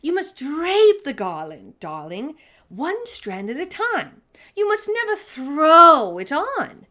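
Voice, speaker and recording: A woman's voice: high-pitched, huge pitch swings, slow pace, bright, forceful tone, pitched above the performer's own voice, heavily acted. A woman voicing a grand, eccentric great-aunt in a storybook, giving emphatic instruction. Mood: grandly instructive.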